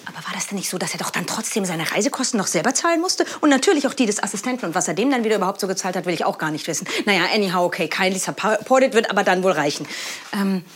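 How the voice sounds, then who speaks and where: Harried, broke art-world woman played by an actress, medium pitch, muttering monologue that keeps interrupting itself. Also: lively intonation, very fast, husky. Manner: rambling, self-interrupting, mixes in English announcements. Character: harried, broke art worker drafting gushing announcements. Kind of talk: spoken-word monologue, thinking aloud.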